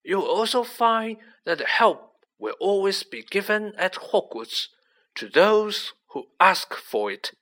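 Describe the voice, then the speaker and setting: Storybook headmaster , played by a man: old, wise, unflappable authority, measured clear words, lifted pitch. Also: lively intonation, sharp tone, heavily acted. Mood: calm, solemn. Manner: very slow, clear, deliberate. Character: calm, commanding, wise old male headmaster.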